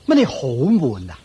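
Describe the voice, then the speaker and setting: An actor playing a mocking, contemptuous shopkeeper uncle in a Hong Kong Cantonese radio drama, drawling out sneering taunts. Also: male voice, a little high, dark tone, husky, exaggerated voice. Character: mocking, contemptuous shopkeeper uncle scolding his poor nephew.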